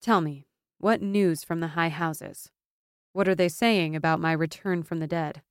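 A woman's voice: low-pitched, measured pace, clean tone, no room sound, clean studio sound. A woman voicing a proud, bitter exiled warrior noblewoman in a fantasy audiobook, firm and wry. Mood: weary.

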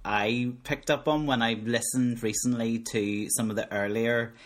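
A man's voice: mid-range voice, steady tone, moderate pace. A man in his thirties with a Northern Irish accent, chatting casually as a podcast co-host with jokey, self-mocking banter. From Northern Ireland. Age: 30s. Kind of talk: casual chat.

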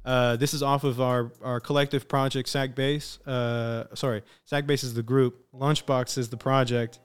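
A man's voice: mid-range voice, level tone, normal speed, smooth. A young man from Sacramento, a rapper, chatting casually and leaning on "you know" all the time. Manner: laid-back, says "you know" constantly. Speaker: rapper and podcast guest. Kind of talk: casual chat. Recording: music playing in the background.

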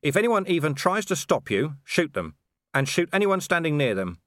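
Cynical male watch commander, calm and clipped, read by a man. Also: fairly high pitch, moderate pace, dry recording, studio quality, pitched above the performer's own voice. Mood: calm commanding.

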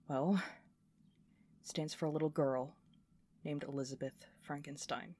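A woman playing a scrappy, intense inventor recording a personal log in an audio drama, talking in a halting, volatile way. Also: sits low, a little mellow. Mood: solemn, intense. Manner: swearing, halting, swinging from muttering to fervent.

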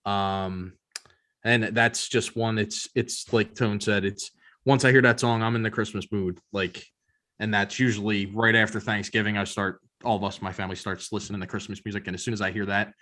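Male American podcast co-host, lower-pitched voice, casual chatty delivery. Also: steady tone, normal speed, sharp tone. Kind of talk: casual chat.

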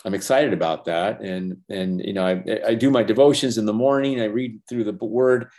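Older man, halting and earnest. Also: lowish voice, a little mellow. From Los Angeles. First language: Spanish. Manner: halting, many restarts and "you know" fillers; earnest, reflective, faith-centered. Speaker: animation professor and former feature animator, guest. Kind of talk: casual interview chat.

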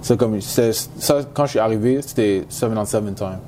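A man's voice: lowish voice, normal speed, mellow, slight background noise. A young man from Montreal, a native English speaker with a Quebec accent, chatting casually in an interview and peppering his French with English slang. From Montreal, Quebec, Canada. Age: young adult. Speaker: university football player, interview guest.

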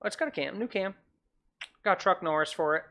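A man's voice: high voice, brisk, fairly bright tone. A man co-hosting a podcast in casual chat, teasing his co-host with short dry quips.

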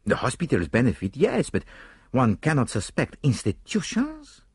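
A man voicing an excitable, self-assured detective with a dramatic, formal way of talking, in an audiobook. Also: mid-range voice, normal speed, slightly rough, dry recording, clean studio sound, voice pushed up, exaggerated voice. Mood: indignant, puzzled. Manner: dramatic, exclamatory, formal turns of phrase.

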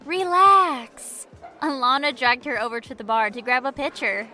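An actress playing a relaxed, cheerful young woman among friends in an audio drama. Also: high-pitched, moderate pace, faint music behind, heavily acted. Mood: breezy.

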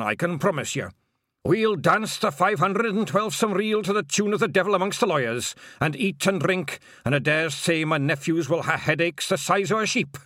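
A grave old pixie bard speaks in a slow Scottish lilt, as a man puts him on. His voice rises and falls with sorrowful weight. The sound is dry and close. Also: fairly high pitch, a bit bright, dry recording, voice pushed up. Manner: slow, solemn and lilting.

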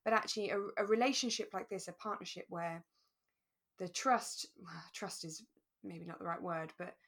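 A woman with a slightly low-set voice co-hosts a relaxed review podcast. She explains her points thoughtfully and leans on key words for emphasis. The delivery is conversational and unscripted. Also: normal speed, slightly rough. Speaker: podcast co-host. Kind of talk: relaxed chat reviewing a radio drama.

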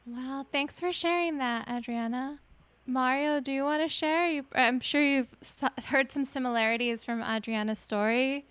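A woman's voice: sits high, normal speed, a bit bright, clean tone. A woman co-hosting a podcast, upbeat with eager interjections. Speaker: podcast co-host.

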